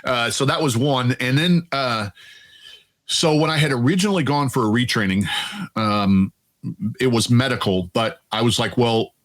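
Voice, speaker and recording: A man in his fifties with a deep, resonant voice, a veteran guest telling stories in a relaxed, chatty way. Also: moderate pace. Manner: relaxed, chatty, quotes himself and laughs easily. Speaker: Air Force veteran guest. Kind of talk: casual storytelling interview.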